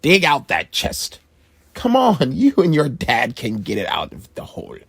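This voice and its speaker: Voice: medium pitch, huge pitch swings, a little slow, gravelly, husky, no room sound, studio quality, exaggerated voice. A storyteller voicing an old pirate ancestor who cheers the family on with hearty pirate talk. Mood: rousing. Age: older. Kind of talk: children's storybook read-aloud.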